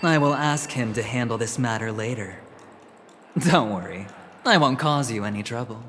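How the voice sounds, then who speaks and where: A man's voice: mid-range voice, moderate pace, fairly bright tone, slight background noise, close to an everyday voice. An actor playing a smug, flamboyant young businessman in a video game, with a suave, teasing, theatrical delivery. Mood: charming. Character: smug, flamboyant young male corporate gambler. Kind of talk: scripted video game dialogue. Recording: music behind the voice.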